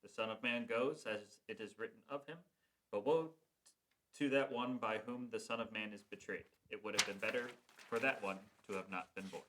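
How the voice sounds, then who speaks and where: A man reading the part of Jesus in a church passion play, slow and grave. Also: lowish voice, noisy background, close to an everyday voice. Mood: solemn. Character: Jesus, calm solemn male teacher.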